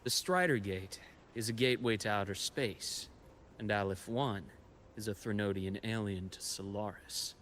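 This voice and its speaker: An actor playing a composed, knowledgeable expedition guide and scholar in a video game, telling history in a measured, reflective way. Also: male voice, fairly low pitch, a bit bright, slight background noise, lightly acted. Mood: earnest. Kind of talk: scripted video game story dialogue.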